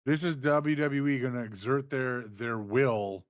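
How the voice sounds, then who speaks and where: A man's voice: medium pitch, unhurried, no echo, studio quality. A man co-hosting a wrestling review, reading out news and backing up his co-host with short agreeable replies. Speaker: podcast co-host.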